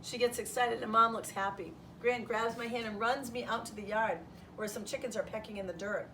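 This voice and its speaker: A woman reading a children's storybook aloud in the first person as a schoolteacher would, with an expressive delivery. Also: fairly high pitch, brisk. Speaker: third grade teacher reading aloud. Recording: distant mic, roomy background noise.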